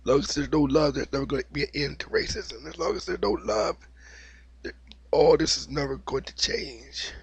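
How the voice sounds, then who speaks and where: Husky-voiced man with a cold. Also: fairly high pitch, lively intonation, moderate pace, no room sound. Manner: opinionated, preachy, repeats himself. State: has a cold, slightly raspy. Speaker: video host. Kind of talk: unscripted monologue vlog.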